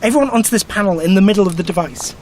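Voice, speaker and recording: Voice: mid-range voice, rapid-fire, darkish tone, slight background noise, natural voice. An actor playing a breezy, eccentric time traveler in an audio drama, explaining things in a wry, glib way. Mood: brisk, confident.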